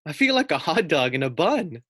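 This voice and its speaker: A man's voice: on the high side, very animated, moderate pace, fairly bright tone, slightly rough, no room sound, studio quality, pitched above the performer's own voice, full character voice. A man voicing a small male pet pig in a children's storybook, scared but wry, with a droll, plaintive delivery. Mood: wry, reluctant. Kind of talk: children's storybook read-aloud.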